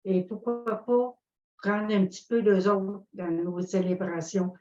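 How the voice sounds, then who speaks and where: A woman making a personal comment, deliberate and restating her phrases, on dull, roomy call audio. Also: medium pitch, warm tone. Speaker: audience participant. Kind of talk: personal comment at an online conference.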